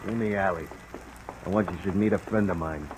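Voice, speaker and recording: A man's voice: sits low, brisk, lightly acted. An actor playing a threatening, slangy gangster's henchman in an old radio crime drama. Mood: menacing. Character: menacing male thug, henchman with a gun. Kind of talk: 1950s radio crime acting. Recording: noisy old radio recording, steady hiss.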